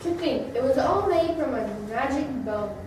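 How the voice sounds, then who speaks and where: A woman's voice: sits high, lively intonation, moderate pace. A woman playing a skeptical, scornful monster villager in amateur reader's theater. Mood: amazed. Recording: echoey room, distant mic, background noise.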